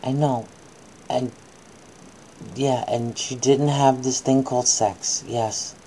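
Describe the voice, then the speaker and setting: A woman's voice: extremely low pitch, steady tone, slow pace, mellow, a little hiss or hum, poor audio. A woman acting out a bedtime story for children, moving between dramatic reading and coaxing, soothing asides.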